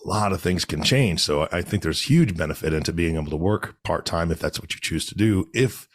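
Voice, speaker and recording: A man in his late forties co-hosting a podcast, upbeat and bantering, his voice low and worn from illness. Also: fairly quick, no echo. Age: 40s. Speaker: podcast co-host. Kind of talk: casual podcast chat.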